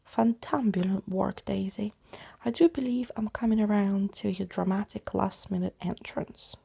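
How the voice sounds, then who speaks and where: A woman storyteller acts out a jaunty male skeleton from a children's storybook. He speaks in grand, theatrical flourishes, with a husky, crackly tone. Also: mid-range voice, moderate pace, warm tone. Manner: grandiose, exclamatory.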